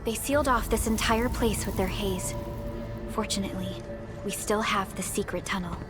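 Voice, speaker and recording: A calm woman in command as a game actress plays her, with a mid-range voice. She reasons formally and keeps her composure. Music runs clearly underneath. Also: mid-range voice, moderate pace, lightly acted. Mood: calm, assured. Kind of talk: video game voice acting.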